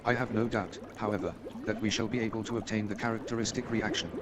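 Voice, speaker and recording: A man's voice: on the low side, fairly even intonation, quick pace, a loud crowd, close to an everyday voice. A man playing an eager, self-assured amateur detective and chemist in a staged radio play, speaking in an emphatic, pedantic way. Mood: excited, proud. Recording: noisy with watery background sound.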